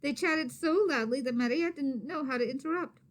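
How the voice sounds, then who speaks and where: A woman reading a story aloud to children expressively, with dramatic emphasis and hushed asides to her listeners. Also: high-pitched, normal speed. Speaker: teacher or reader of a children's story.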